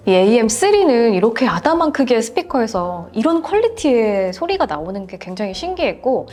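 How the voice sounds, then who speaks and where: Lively, higher-pitched woman host. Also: a little fast. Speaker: show host. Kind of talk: product review presenting, polite and conversational.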